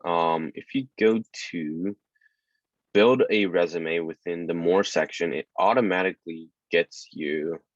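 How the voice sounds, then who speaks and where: A man presenting a workshop tutorial in a relaxed, casual way, with lots of ums and you-knows. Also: sits low, a little slow, no echo. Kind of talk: casual screen-share tutorial. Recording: dull dry lo-fi audio.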